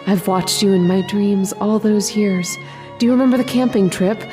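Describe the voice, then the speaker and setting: A woman's voice: medium pitch, moderate pace, dark tone, soft background music, lightly acted. Woman acting adoring, eerie dream sweetheart. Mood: adoring, wistful. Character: adoring, possessive young dream sweetheart, eerily gentle. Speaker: actress in a horror radio play. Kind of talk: old-time radio drama acting.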